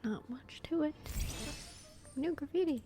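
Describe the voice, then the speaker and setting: Young woman gaming streamer, highish mellow voice, vocal fry, slangy, laid-back, casual commentary. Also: lively intonation, measured pace. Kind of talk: casual gameplay commentary, reading dialogue choices aloud. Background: faint game music.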